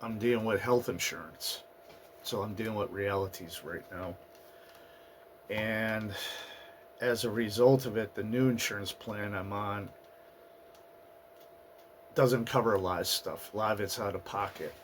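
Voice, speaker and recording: Raspy guy just over the flu, lowish voice, slow and halting, faint room hiss. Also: fairly even intonation. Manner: halting, with fillers and restarts. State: getting over a flu, hoarse. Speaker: channel host. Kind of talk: casual personal monologue. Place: at home in the US Northeast.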